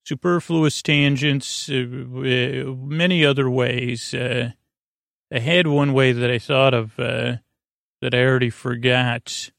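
A man hosting a bedtime podcast in a creaky, lulling voice, halting and stammering through meandering, goofy tangents. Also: mid-range voice, fairly even intonation, a bit bright, dry recording, studio quality. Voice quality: creaky, drawling. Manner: lulling, soothing. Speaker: host of a bedtime sleep podcast. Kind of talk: rambling, meandering bedtime monologue.

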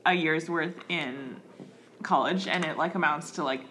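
A woman's voice: low voice, a little slow, fairly bright tone, slight background noise, low-quality recording. A woman co-hosting a casual podcast, making dry, wry remarks. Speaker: podcast co-host. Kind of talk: casual chat.

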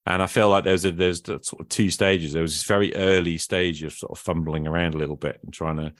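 A man's voice: deep voice, steady tone, quick pace, no echo, clean studio sound. A man speaking as a podcast host in casual, reflective conversation, thinking aloud and often qualifying himself.

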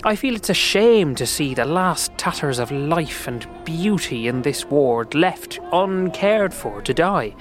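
A man playing a gentle, sorrowful, earnest old man in a fantasy audio drama, wistful in manner. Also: on the high side, expressive, measured pace, hardly any pauses. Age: older. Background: music.

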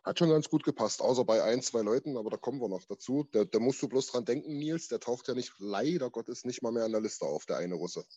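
A husky-voiced man chats on a sports podcast. He rambles and restarts his sentences freely, and his voice sits at a middle pitch. The sound is dry and close. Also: animated, quick pace, few pauses, warm tone, dry recording, muffled recording. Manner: chatty, rambling with restarts, laughs easily. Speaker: podcast co-host. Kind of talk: casual sports podcast chat.

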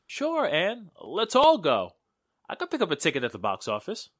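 An actress playing a breezy, wisecracking friend with wry, slangy talk in 1940s radio drama style. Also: female voice, lowish voice, wildly swooping pitch, moderate pace, slightly rough, no room sound, studio quality, exaggerated voice. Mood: playful, excited. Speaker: actor.

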